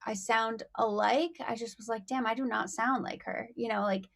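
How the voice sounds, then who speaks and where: A woman's voice: fairly high pitch, fairly even intonation, moderate pace, creaky, a bit bright. A young woman, a rapper and songwriter, talking casually as an interview guest, bubbly and full of "like" and "you know.".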